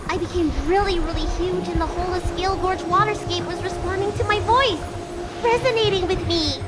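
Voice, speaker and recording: A woman's voice: very high-pitched, brisk, very noisy. A woman voicing a spirited, childlike dragon girl healer in a game, blunt and curious, with a cheeky, candid manner. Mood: amazed. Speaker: game character voice actor. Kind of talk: anime-style game voice acting. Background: game music.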